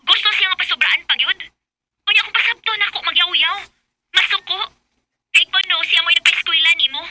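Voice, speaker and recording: An actress with a Cebuano Filipino accent playing an assertive young wife in a radio drama. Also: female voice, extremely high pitch, fairly quick, heavy background noise. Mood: aggrieved, defiant. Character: fed-up, assertive young wife standing up for herself. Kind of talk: Bisaya radio drama acting.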